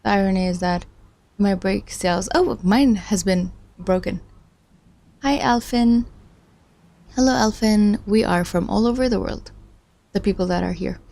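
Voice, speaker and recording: A woman's voice: medium pitch, unhurried, creaky, long pauses, dark tone. A woman from Iraq hosting a study livestream, thinking aloud and chatting with viewers in a musing, self-deprecating way. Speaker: livestream host studying along. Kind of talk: casual thinking aloud while following a lecture.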